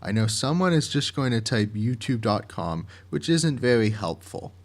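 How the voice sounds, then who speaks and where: A man reading scripted instructions in a methodical, measured and plainly worded way, like a project director explaining a process. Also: mid-range voice, very animated.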